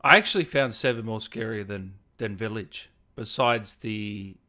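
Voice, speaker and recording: A man hosting a relaxed gaming interview, chatting casually with a guest and viewers. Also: fairly low pitch, moderate pace. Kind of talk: casual interview chat, reading viewer comments.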